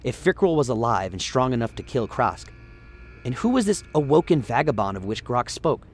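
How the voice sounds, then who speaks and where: A man's voice: mid-range voice, sing-song, moderate pace. A man giving scripted, dramatic narration of a story as the narrator of a radio play.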